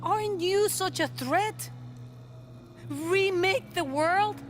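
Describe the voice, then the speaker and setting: A woman's voice: very high-pitched, slow pace, faint music behind. An actress playing a defiant imprisoned queen who speaks firmly and resolutely in a video game cutscene. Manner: firm, resolute.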